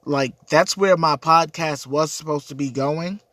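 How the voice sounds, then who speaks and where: A man's voice: fairly high pitch, a little slow, fairly bright tone, no room sound. A man with a cold and a stuffy, congested voice, hosting a casual livestream chat and answering viewer comments in an earnest, rambling way. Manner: earnest, rambling, repeats words. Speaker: livestream host.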